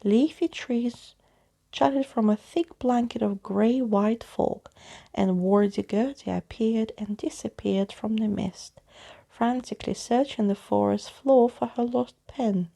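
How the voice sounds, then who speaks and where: A woman reads a children's story in a soft-spoken ASMR style. Her voice is husky and mellow, with a very heavy creak. She tells it expressively, like a bedtime storyteller who acts out each character. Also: fairly high pitch, steady tone, slow pace. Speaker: storyteller reading a children's book.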